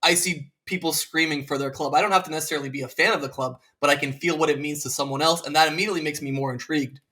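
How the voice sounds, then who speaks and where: A man's voice: fairly high pitch, steady tone, very fast, sharp tone. A man from Serbia hosting a podcast, talking earnestly and thoughtfully with many fillers. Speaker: podcast host. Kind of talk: casual conversational interview.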